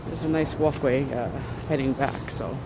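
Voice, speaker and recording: A man's voice: medium pitch, moderate pace. A man chatting casually to viewers on a walking livestream by the water in a city park. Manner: chatty, relaxed, talks to viewers while walking. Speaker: livestream host. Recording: phone stream with loud street noise. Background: city traffic noise.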